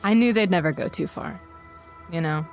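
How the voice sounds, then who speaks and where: A woman's voice: lowish voice, normal speed, soft background music, lightly acted. Woman playing a plain-spoken fatherly town priest. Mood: stern, fatherly. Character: fatherly male town elder and priest, protective of sons.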